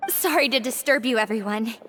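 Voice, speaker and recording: Woman acting a high-voiced, polite young prisoner. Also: a little fast, heavy background noise, natural voice. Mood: polite request. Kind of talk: video game voice acting. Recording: music behind the voice.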